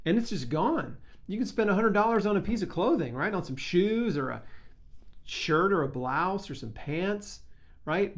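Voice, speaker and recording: A man hosting a video, making a casual, earnest fundraising appeal in an emphatic, conversational way, often checking in with short questions. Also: a little high.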